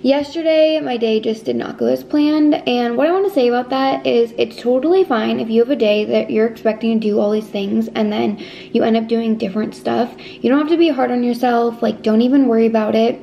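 Girl in her 20s vlogging at home, scratchy vocal fry, casual, says like a lot, bit of room noise. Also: a little high, fairly even intonation, brisk, mellow, dull audio. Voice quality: slightly scratchy. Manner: informal, frequent "like" as filler. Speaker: lifestyle vlogger. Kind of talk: casual vlog chat to viewers.

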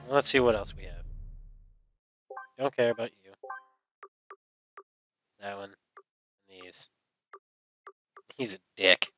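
A man's voice: fairly low pitch, takes it slowly, long pauses, bright, forceful tone, faint music behind, low-quality recording. A man giving casual, sweary gameplay commentary, mostly talking to himself as host. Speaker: let's play host.

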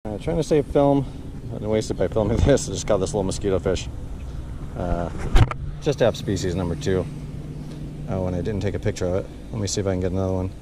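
A man giving casual, off-the-cuff fishing commentary into a body-worn action camera, halting and muttering to himself as he goes. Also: low-pitched. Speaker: angler, vlog host. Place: outdoors on bridge and canal bank. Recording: outdoors with loud wind and traffic noise.

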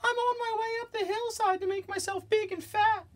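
A man voicing the tiniest young billy goat in a storybook, timid and pleading, in a very small squeaky falsetto. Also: steady tone, moderate pace. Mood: meek.

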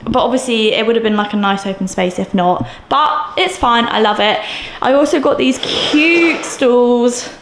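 A bubbly young woman in her twenties, chatty and gushing, with a somewhat high voice. She walks through bare, echoey rooms, talking into a handheld camera. A faint room hiss sits underneath. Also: normal speed. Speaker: vlogger. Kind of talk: casual home tour vlog. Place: mostly empty new flat, indoors. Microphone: camera mic, handheld camera.

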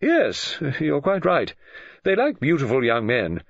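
A man voicing a gushing, excitable older woman novelist. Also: measured pace, no echo, full character voice. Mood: animated. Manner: high, gushing and emphatic. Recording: dull, dry close recording.

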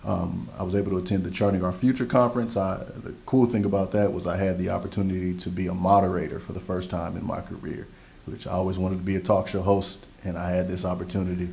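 A young man with a Pacific Northwest American accent and a very deep, gravelly voice gives an easygoing report at a board meeting. His intonation stays flat, and faint room noise sits behind the desk microphone. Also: fast talker. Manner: relaxed, upbeat, easygoing. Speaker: school board member.

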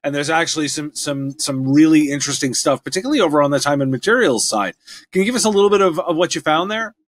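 A middle-aged man with a slightly high voice hosts an interview show. He puts his questions in a conversational way, speaking indoors in a home studio. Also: quick pace, no echo. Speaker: podcast host, interviewer.

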